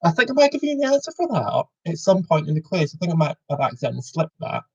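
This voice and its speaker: Rambling young Scottish quiz host. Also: male voice, on the high side, very animated, quick pace, creaky, darkish tone, breathy edge, dull audio. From Scotland. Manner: rambling, self-correcting, jokey. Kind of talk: casual online quiz hosting. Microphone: video call.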